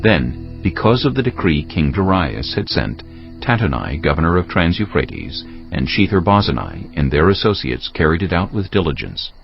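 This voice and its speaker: Male scripture narrator, very low and husky, formal storyteller with lively intonation. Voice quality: gravelly, husky. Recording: slightly dull, lo-fi audio.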